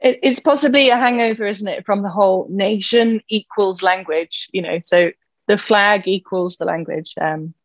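A woman's voice: mid-range voice, moderate pace, dry recording. A woman talking enthusiastically in a casual conversation about languages, with lots of "you know" fillers.

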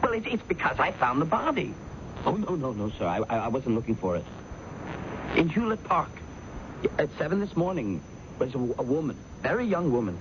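An actor playing a timid, flustered office worker in a radio drama, hesitant, deferential and stammering. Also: male voice, medium pitch, sing-song, normal speed, lightly acted. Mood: flustered, anxious. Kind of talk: old-time radio drama acting. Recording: dull, noisy old radio broadcast.

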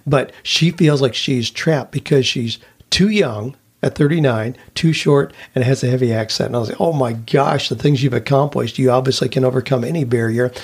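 A man's voice: medium pitch, moderate pace, slightly rough. An older man, past his mid-sixties, telling stories conversationally as a podcast host and career coach. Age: older, past sixty-four. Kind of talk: casual conversational storytelling.

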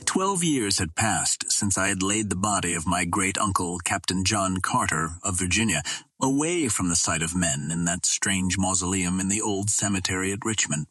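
A man reading an old adventure novel aloud as narrator, in a measured, formal storytelling manner. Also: low-pitched, expressive, sharp tone, slightly rough, no room sound, faint music behind. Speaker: audiobook narrator.

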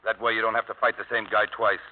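Actor as a hard, bullying brother, old crackly radio. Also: male voice, lowish voice, level tone, fast, phone-quality sound, lightly acted. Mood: bitter, threatening. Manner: hard, commanding. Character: ruthless, bullying grown brother with a grudge, giving orders. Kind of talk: 1950s radio drama acting.

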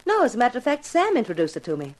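A woman acting as a pushy, excitable TV producer in a 1950s radio drama, gushing and bossy. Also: fairly high pitch, racing. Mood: brisk. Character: pushy, excitable female TV producer hungry for publicity.

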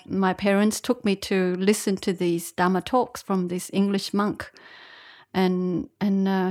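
Female guest, nun from Vietnam raised in Australia. Reflective personal storytelling, halting delivery, mid-range voice. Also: medium pitch. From born in Vietnam, grew up in Australia from age eight. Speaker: podcast guest, Buddhist nun.

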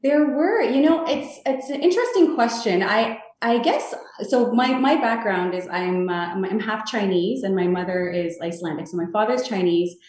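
A woman's voice: medium pitch, animated, a little mellow. Woman, 30s, earnest rambling podcast guest, far from the mic in a very echoey room. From Canada (Toronto). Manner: earnest, halting, rambling answers. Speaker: real estate sales guest. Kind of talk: podcast interview answers.

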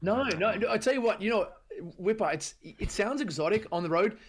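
Easygoing young guy, rapid chatty delivery. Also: high voice, animated, crowd noise. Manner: fast, easygoing, restarts. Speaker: guest musician. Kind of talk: casual radio interview.

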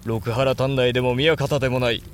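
An actor playing a brooding, resolute samurai lord and elder brother in a Japanese period audio drama, speaking gravely and with deliberate command. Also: male voice, mid-range voice, little pitch movement, lightly acted. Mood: intense, commanding.

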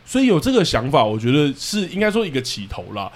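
Male podcast host, Taiwanese Mandarin accent, quick pace, casual and self-deprecating interviewer. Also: a little high, wildly swooping pitch.

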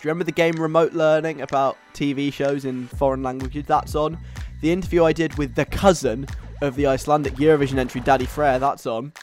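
A man's voice: medium pitch, a little mellow. A British man hosting a radio show in a casual, chatty, wry and self-deprecating conversational way.

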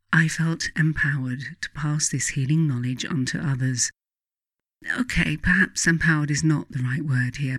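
A woman with a British accent reading her own self-help book as scripted narration, in measured, deliberate phrases with pauses. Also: low voice, dry recording, studio quality.

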